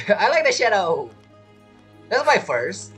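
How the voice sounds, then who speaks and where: A man's voice: sits high, sing-song, takes it slowly, a bit bright, slightly rough, faint music behind. A man giving casual, slangy, jokey commentary as he plays a video game. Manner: jokey, acts out imagined quotes, slangy. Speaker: game streamer.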